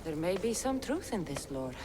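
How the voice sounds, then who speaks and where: A woman's voice: on the low side, sing-song, normal speed, big-room sound, noisy background. An actress playing a bold, determined female adventurer who asks direct questions. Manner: direct and inquisitive. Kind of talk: game cutscene acting.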